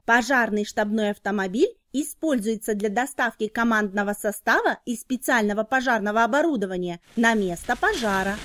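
A woman reads scripted educational narration for children, explaining in short, clearly enunciated phrases. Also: on the high side, moderate pace, few pauses, fairly bright tone, slight background noise.

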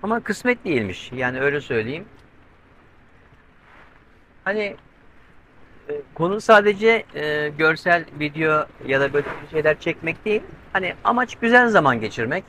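A man's voice: a little high, sing-song, normal speed, long pauses, bright, forceful tone, a little hiss or hum, poor audio. A middle-aged man vlogging alone on his sailboat at sea, chatting to the camera and explaining step by step, tense as he watches the weather. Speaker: solo sailing vlogger. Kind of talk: casual vlog talk to camera. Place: covered cockpit of a sailboat at sea, outdoors. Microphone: handheld camera with mic on a pole.

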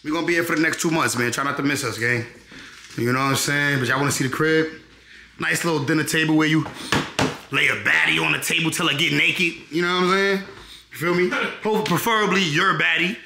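Young man, casual slangy tour host, slightly high voice, echoey rooms with steady noise. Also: brisk, gravelly. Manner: laid-back, repeats phrases, keeps asking "you know what I'm saying". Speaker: vlogger giving an apartment tour. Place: rental apartment, indoors then rooftop. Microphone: handheld camera held close.

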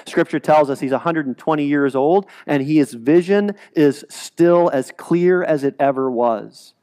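A man in his forties preaching a sermon in a church sanctuary, animated and gesturing, often repeating words as he restarts. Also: on the high side, measured pace. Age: 40s. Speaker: pastor. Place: large church sanctuary, speaking from the stage.

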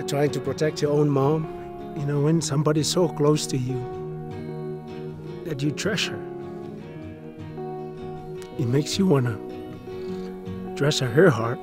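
Soft-spoken Samoan man, music under him. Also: a little high. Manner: soft-spoken, halting, reflective storytelling. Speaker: interviewee. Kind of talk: documentary interview. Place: outdoors in a rural field. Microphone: boom mic.

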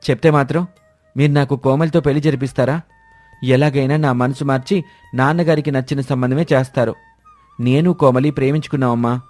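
A man voicing an earnest young son, pleading yet firm, in an animated moral story. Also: mid-range voice, fairly quick, faint music behind, voice pushed up, close to an everyday voice. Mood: defiant. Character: earnest young son standing by his love.

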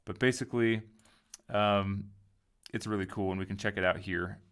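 A man giving a casual software tutorial, informally thinking aloud as he goes. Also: lowish voice, fairly even intonation, moderate pace, clear, resonant tone. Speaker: tutorial host.

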